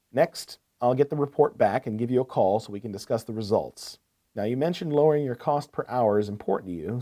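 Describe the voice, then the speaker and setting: Mellow, courteous salesman acted by a man. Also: mid-range voice, lightly acted. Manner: salesmanlike, attentive, asks probing questions. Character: courteous, eager male heavy-equipment salesman. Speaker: equipment sales rep. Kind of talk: scripted business sales call role-play.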